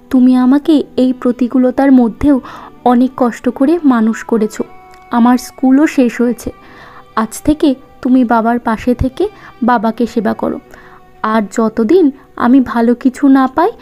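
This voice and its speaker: Cartoon role: principled young working daughter, played by a woman, high voice, respectful and earnest, slightly dull audio. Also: dark tone, lightly acted. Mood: earnest, caring. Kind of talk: cartoon moral-story voice acting. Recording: muffled lo-fi audio with music bed.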